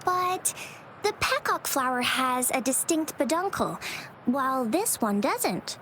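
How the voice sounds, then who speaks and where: A modest young plant expert in a video game. Her voice is high and swings expressively as she explains in careful detail, with faint ambient noise behind. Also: big pitch swings, slow pace, a bit bright, full character voice, low-quality recording. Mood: absorbed, informative. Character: shy, studious young woman botanist, eager expert.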